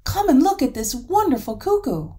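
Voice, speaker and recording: A woman's voice: mid-range voice, lively intonation, normal speed, mellow, lightly acted. A woman voicing a sly young farmhand boy in a storybook, eager and mock-innocent. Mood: excited.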